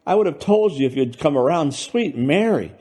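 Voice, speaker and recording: Man acting out a blustering, excitable rough-talking diner owner. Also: fairly high pitch, moderate pace, pitched above the performer's own voice. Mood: alarmed pleading. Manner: barking, scolding, folksy rough speech. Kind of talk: dramatised short-story reading.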